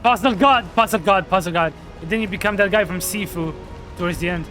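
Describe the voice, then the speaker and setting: A man's voice: high-pitched, fairly quick, bright, forceful tone, faint music behind. A man playing through a game and reacting to it in a playful, joking way. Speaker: game streamer. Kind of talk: playthrough commentary.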